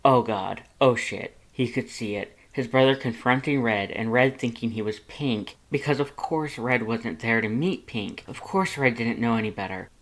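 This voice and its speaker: Fan fiction narrator, mid-range voice, dramatic and expressive read. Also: medium pitch, normal speed, few pauses. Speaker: audiobook reader of fan fiction.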